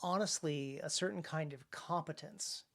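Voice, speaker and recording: Man chatting in halting, repetitive phrases. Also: fairly high pitch, gravelly, fairly bright tone. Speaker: podcast co-host and author. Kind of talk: casual podcast discussion.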